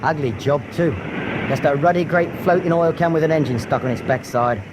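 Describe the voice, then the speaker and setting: An actor playing a pessimistic working-class British sailor in a radio drama, sardonic, slangy and argumentative. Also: male voice, a little high, fairly quick, background noise, lightly acted. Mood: sour, sardonic.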